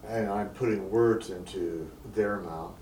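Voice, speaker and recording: A man interviewing, asking long, careful questions in a measured way. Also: deep voice, darkish tone. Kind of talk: oral history interview. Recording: distant, roomy mic with faint background noise.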